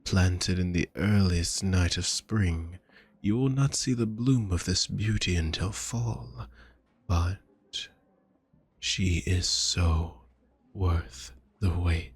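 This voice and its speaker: A man playing a doting, courtly vampire shopkeeper in an intimate audio roleplay, with a husky voice and gentle, flowery, formal speech addressed to the listener. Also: sits low, slow, no echo, heavily acted. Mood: wistful, seductive.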